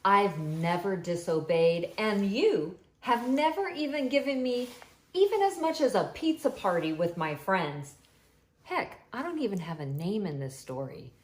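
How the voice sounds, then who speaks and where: A woman voicing a resentful older brother who complains in a low, grumbling voice. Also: big pitch swings, measured pace, reverberant. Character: sulky resentful older brother, young man.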